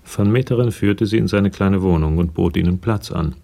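A man narrates a radio crime play in a very low, mellow voice. There is a gravelly creak to it, and the delivery is that of scripted literary storytelling. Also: normal speed.

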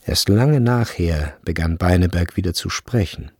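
A man narrating a literary radio drama in German as a sober storyteller, in a measured, grave manner. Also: low-pitched, a little mellow, slightly rough, no room sound. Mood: grave, tense. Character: sober literary narrator of a tense boarding-school novel.

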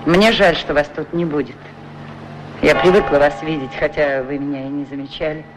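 A woman's voice: low voice, steady tone, normal speed, lightly acted. Woman acting a lonely, caring woman, noisy recording. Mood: wistful, caring. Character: lonely, solicitous woman with unreturned affection. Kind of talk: 1960s radio play acting. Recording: noisy vintage radio recording.

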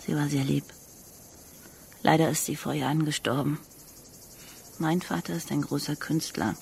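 An actress in a German radio drama playing a proud, guarded young street acrobat with a dry, mocking manner. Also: female voice, low-pitched, steady tone, normal speed, gravelly, a little mellow, a little hiss or hum. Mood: wistful. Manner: dry, sardonic.

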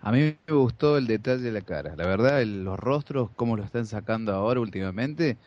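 A man from Córdoba, giving his measured opinion as a podcast guest. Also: fairly low pitch, expressive, darkish tone, dull audio. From Córdoba, Argentina.

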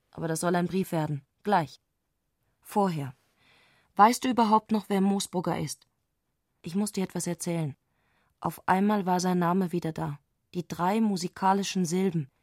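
An actress playing an eccentric, excitable woman speaking an intimate letter in intense, abrupt short sentences, in a literary radio play. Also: mid-range voice, no room sound, clean studio sound, close to an everyday voice. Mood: agitated wonder.

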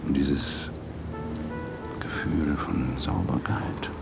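An actor playing an exhausted, obsessed police detective, intimate and brooding, in a naturalistic radio drama. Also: male voice, extremely low pitch, steady tone, very slow, over loud music, full character voice. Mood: quiet, wistful. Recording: clear rain and ambience noise.

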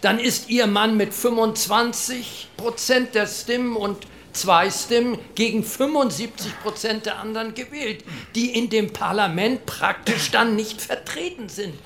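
An actor playing a dry, pragmatic debater who reasons in a pointed, didactic way. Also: male voice, sits high, measured pace, vocal fry, hardly any pauses, fairly bright tone, a little hiss or hum, exaggerated voice. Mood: pointed, skeptical. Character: dry, pragmatic debater explaining electoral arithmetic. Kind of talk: dramatized debate.

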